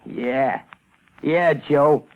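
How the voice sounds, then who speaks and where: A shell-shocked, simple-minded fellow talks slowly and childishly, eager to obey. His voice sits a little high. The recording is a narrow, tinny old radio drama. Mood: childlike, eager. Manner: slow, childlike, eager to please. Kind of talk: 1940s radio acting.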